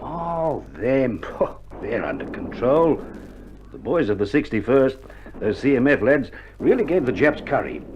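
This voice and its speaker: A man's voice: mid-range voice, big pitch swings, unhurried. An actor playing a wry, reassuring Australian army male nurse in a wartime radio drama, with laconic, joking banter, heard on an old vinyl record. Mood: brisk, reassuring. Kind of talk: 1960s radio drama acting. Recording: old vinyl recording, dull band, faint noise.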